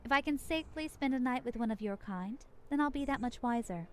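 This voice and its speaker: Cocky high-voiced vampire woman played by an actress. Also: expressive, brisk, a little mellow, a little hiss or hum, close to an everyday voice. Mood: flirty, confident. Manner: cocky, blunt, playful swagger. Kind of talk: video game voice acting.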